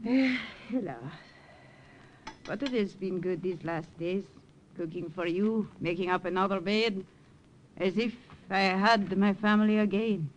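An actress playing an older widow in a 1940s radio drama, gentle and motherly, speaking English. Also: mid-range voice, a little slow, dull audio, a little hiss or hum, close to an everyday voice. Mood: warm, earnest. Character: older French widow, kindly and protective.

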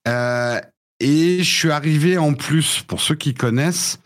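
A man in his fifties who grew up in the United States with English as his first language, recounting his childhood in a lively, halting way. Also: fairly high pitch. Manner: animated storytelling with frequent restarts. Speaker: guest. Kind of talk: casual interview chat.

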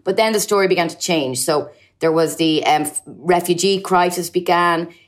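A woman's voice: on the low side, normal speed. A woman in her forties from Ireland with an Irish accent, a journalist answering interview questions fluently, with frequent ums and uhs. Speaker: journalist guest.

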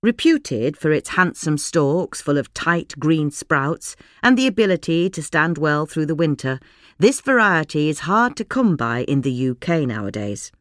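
Woman narrating with lively, varied intonation. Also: low voice, measured pace, no echo, clean studio sound. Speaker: narrator reading a magazine article. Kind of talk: scripted narration.

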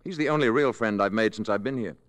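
A grave, polite visitor from another planet, acted by a man in an old radio play. His voice is low and dry, and his phrasing is formal and measured. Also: a bit bright, no echo, lightly acted. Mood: gentle, courteous. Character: calm, grave, courteous male alien emissary posing as lodger. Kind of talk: 1950s radio drama acting. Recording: 1950s radio broadcast recording.

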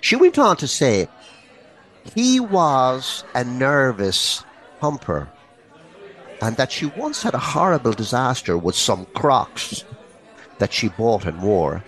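A man's voice: medium pitch, a bit bright. A man co-hosting a comedy podcast, telling bawdy stories crudely and with theatrical emphasis. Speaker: podcast co-host, comic storyteller. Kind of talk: bawdy comic storytelling.

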